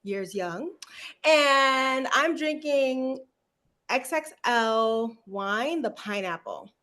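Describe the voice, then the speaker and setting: A woman's voice: high-pitched, expressive, very slow, sharp tone. A woman in her early thirties co-hosting a casual group chat, upbeat and playful. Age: 30s. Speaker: co-host.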